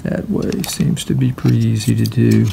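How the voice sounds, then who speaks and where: A man giving a casual, hands-on product walkthrough in a halting, hesitant way. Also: mid-range voice, fairly even intonation, vocal fry, noisy background. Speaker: product demonstrator.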